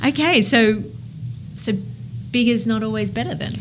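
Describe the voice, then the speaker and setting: A woman's voice: a little high, normal speed, a little hiss or hum. A woman hosting a podcast, asking friendly, curious follow-up questions in a conversational way. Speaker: podcast host. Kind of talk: conversational interviewing.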